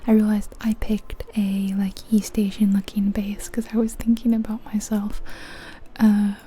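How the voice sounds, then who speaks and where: A woman whispering softly and breathily in an ASMR style, gently musing aloud as she comments on a video game. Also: medium pitch, monotone, a little slow, husky.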